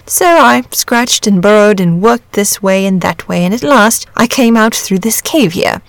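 A woman's voice: medium pitch, animated, measured pace, creaky, no room sound. A woman voicing an amiable, lazy, bashful male dragon from a storybook, chatty, rambling and genteel. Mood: chatty, content. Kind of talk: storybook character reading.

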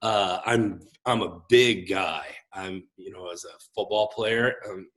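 A man in his forties with a big voice, telling his own story as a pastor and guest in an expressive, gregarious, halting conversational way. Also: deep voice. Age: 40s. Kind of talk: reflective personal storytelling in conversation.

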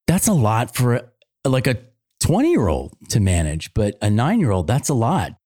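A man hosting a podcast, reading a scripted introduction in a measured way, then asking interview questions. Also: mid-range voice, huge pitch swings, warm tone, no room sound, studio quality. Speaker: podcast host.

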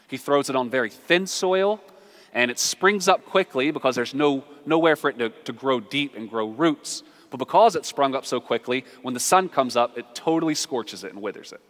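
An American man preaching a conversational, humorous sermon full of storytelling, emphatic and animated, repeating phrases for effect. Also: medium pitch. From southern United States. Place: large reverberant room, live before a congregation. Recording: reverberant hall.

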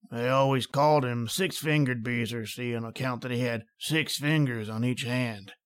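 A man's voice: medium pitch, fairly bright tone, natural voice. Guy doing an old rural american codger, rambling and folksy, superstitious, telling spooky local tales for a radio play. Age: older. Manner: folksy, rambling, emphatic dialect speech. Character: garrulous old country man, superstitious, fond of local legends. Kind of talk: old-time radio drama storytelling.

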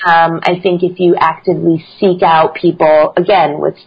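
A woman's voice: deep voice, level tone, moderate pace, breathy edge. An American-born woman guest on a podcast, talking about her work in an upbeat, fluent way with frequent ums. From United States. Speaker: guest, learning-design entrepreneur. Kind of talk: casual interview chat. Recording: dull lo-fi sound.

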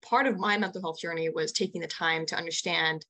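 A woman's voice: on the low side, steady tone, a little fast, sharp tone. A young American woman in her early twenties answering interview questions in an enthusiastic, chatty way peppered with "like". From United States. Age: early 20s. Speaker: interview guest, young nonprofit founder. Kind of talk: casual spoken interview answers.

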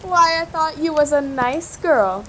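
A woman's voice: high-pitched, expressive, unhurried, fairly bright tone, a little hiss or hum. A woman playing a cruel, hungry cannibal captive who savors her menacing taunts in an audio drama. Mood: gleefully sadistic.

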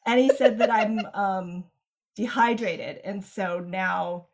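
A woman's voice: mid-range voice, slow pace. A woman hosting a podcast, chatting casually as she introduces and interviews an author.

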